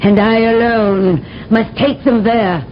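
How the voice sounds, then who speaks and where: An actress playing a commanding, cunning alien empress in a 1970s radio drama, with regal, theatrical delivery and a slightly dull vintage sound. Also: female voice, a little high, steady tone, takes it slowly, slight background noise. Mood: imperious.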